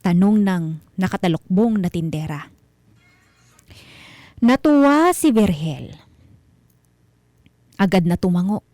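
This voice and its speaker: Slow, mellow Filipino woman telling horror stories. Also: mid-range voice, animated, no room sound. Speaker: host and narrator of a radio mystery show. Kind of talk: scripted horror storytelling.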